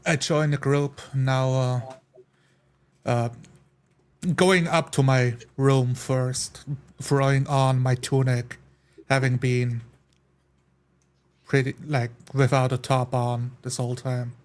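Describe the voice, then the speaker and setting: A man's voice: medium pitch, little pitch movement, slow, pauses often, darkish tone. A man playing a tabletop roleplay game, improvising his character's actions in casual, tentative, loosely phrased speech.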